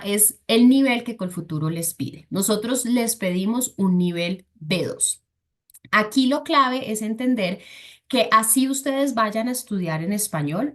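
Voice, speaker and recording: A woman from Colombia, presenting a webinar for a scholarship foundation and explaining step by step with frequent fillers. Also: mid-range voice. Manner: explains patiently, with filler "entonces" and "eh".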